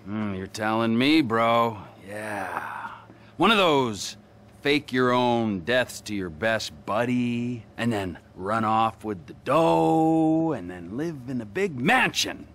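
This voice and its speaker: A volatile, sarcastic middle-aged criminal. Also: male voice, medium pitch, lively intonation, slow, fairly bright tone. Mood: bitter sarcasm. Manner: sardonic, theatrical, needling. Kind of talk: video game dramatic acting.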